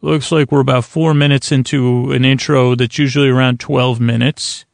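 A man hosting a bedtime podcast with a creaky, lulling voice, meandering and interrupting himself in a goofy, deadpan monologue. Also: mid-range voice, moderate pace, no echo, studio quality. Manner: lulling, soothing. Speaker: podcast host. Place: small closet, close and dry.

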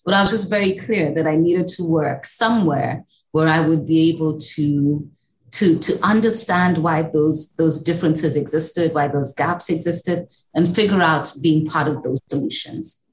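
A woman's voice: deep voice, normal speed, roomy sound, a little hiss or hum, poor audio. A woman from Kenya with a Kenyan accent, answering interview questions in a reflective, conversational way. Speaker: interview guest, executive director.

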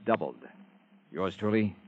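An actor playing a world-weary, sardonic insurance investigator with terse delivery in a 1960s radio drama, on a muffled old recording. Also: male voice, low-pitched, lively intonation, unhurried, a little mellow. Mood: dry, businesslike. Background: dramatic music.

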